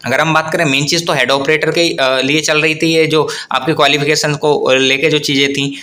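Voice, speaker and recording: A man's voice: fairly high pitch, level tone, moderate pace, bright, forceful tone. A man hosting an informal explainer update, rephrasing and repeating his points as he goes. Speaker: host of an exam news channel. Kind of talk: informal explainer update on government exam news.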